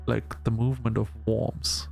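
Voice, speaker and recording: Gravelly-voiced man narrating a visual novel. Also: mid-range voice, flat delivery, measured pace, a little mellow, faint music behind. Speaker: gamer reading a visual novel aloud for a video. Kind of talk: scripted narration with casual asides.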